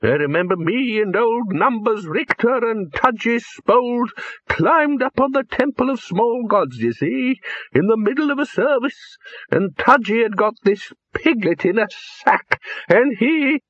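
A doddery ancient wizard, voice pitched far up and wavering, drifting into giggly memories of his youth. He talks slowly and meanders from tale to tale. The sound is dull and dry, like a plain home recording. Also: animated, fairly bright tone, no echo, exaggerated voice. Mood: gleefully rambling. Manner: quavering, chuckling, wandering reminiscence. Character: very old rambling male wizard, nostalgic and doddery.

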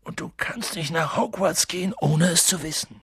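A reader voicing a huge, gruff half-giant man who blusters loudly but turns hushed and worried. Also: mid-range voice, very animated, moderate pace, dry recording, clean studio sound, full character voice. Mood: low worried. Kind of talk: audiobook reading.

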